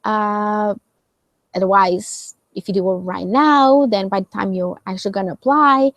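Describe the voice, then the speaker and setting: A woman's voice: mid-range voice, fairly even intonation, normal speed, fairly bright tone, no room sound, dull audio. A woman hosting a live question session, explaining casually with many fillers and "right?" checks. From Portugal. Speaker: host answering viewer questions.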